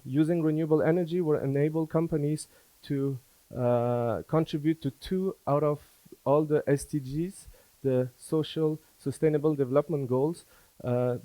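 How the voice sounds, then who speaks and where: A man from Afghanistan who was schooled in France, giving a business presentation as a company founder, with halting, hesitant phrasing. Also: medium pitch, dark tone, dry recording, rough recording. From born in Afghanistan, schooled in France.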